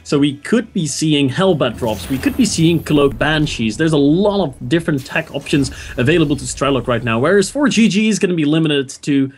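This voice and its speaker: A man's voice: a little high, normal speed, few pauses, slight background noise. A man casting a video game match, introducing players and thinking aloud about strategy with easy enthusiasm. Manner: excitable, laughs often, thinks aloud. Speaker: esports caster. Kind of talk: esports play-by-play commentary.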